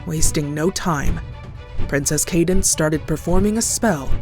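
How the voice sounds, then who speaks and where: A woman's voice: deep voice, unhurried, a little mellow. A woman narrating a scripted horror story as a storyteller. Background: clear background music.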